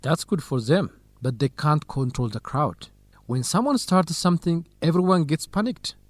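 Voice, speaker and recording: A man's voice: on the high side, lively intonation, normal speed, dry recording. A man playing a cautious, good-natured young graduate student in an educational radio drama. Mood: cautious, worried.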